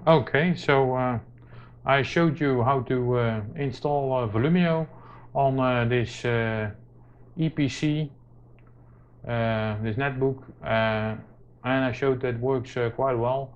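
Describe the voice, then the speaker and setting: A man's voice: mid-range voice, fairly even intonation. A man gives an unscripted how-to demonstration, hesitating often with many filler sounds. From Netherlands. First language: Dutch. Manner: hesitant, halting delivery with very frequent filler sounds. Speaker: tech tutorial presenter. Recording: dull lo-fi camera audio, clear noise.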